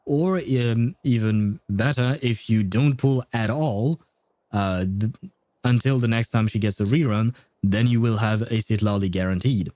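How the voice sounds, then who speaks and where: Male game streamer, soft mellow tone, dry close sound, casual, rambling, halting chat with viewers. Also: on the low side, dry recording. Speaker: game livestreamer. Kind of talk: casual livestream chat and commentary.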